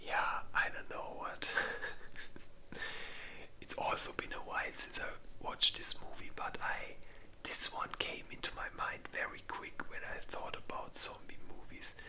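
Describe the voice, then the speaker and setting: An ASMR creator speaking entirely in a breathy whisper, listing movies in a halting, informal way. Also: pushed and bright, rough recording.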